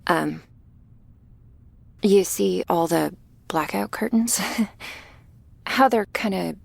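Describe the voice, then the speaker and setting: A woman playing a shy, gentle vampire girl in an ASMR roleplay, speaking softly and hesitantly, awkward but reassuring, often slipping into a whisper. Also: lowish voice, dry recording, heavily acted. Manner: hesitant, halting, soft-spoken with breathy whispers. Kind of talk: ASMR roleplay addressed to the listener.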